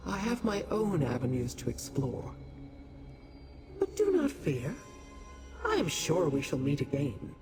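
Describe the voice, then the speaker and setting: A woman playing an imperious, cryptic otherworldly being in a fantasy video game, with a theatrical, formal delivery. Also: medium pitch.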